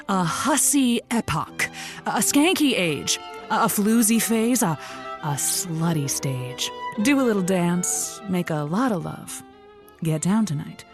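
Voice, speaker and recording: A female late-night radio host in a comedy audio drama. She is playful and lusty, talking in a flirty, teasing, theatrical way at a middling pitch, with faint music around her.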